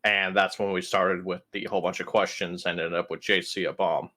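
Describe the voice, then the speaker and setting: A man's voice: deep voice, level tone, a little fast, sharp tone. A man chatting casually as a player in a tabletop game, informal and blunt. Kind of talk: casual chat and recapping.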